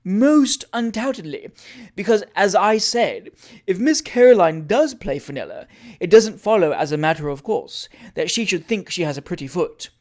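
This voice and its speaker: A reader voicing a scheming society matron in a storybook, falsely amiable, flattering and insinuating. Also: medium pitch, moderate pace, close to an everyday voice. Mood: gushing, indignant.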